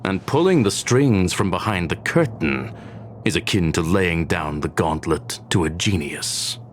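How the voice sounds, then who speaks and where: Deep-voiced, logical genius ruler. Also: male voice, expressive, measured pace, a little hiss or hum. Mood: analytical. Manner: formal, analytical, clipped logical statements. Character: courteous, coolly logical male genius ruler. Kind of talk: scripted video game cutscene dialogue.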